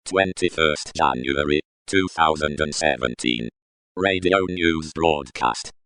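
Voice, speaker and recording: A formal scene announcer for a radio play. The voice is extremely low and slow, with a clipped, solemn delivery in a dry recording. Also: male voice, fairly bright tone, no echo, exaggerated voice.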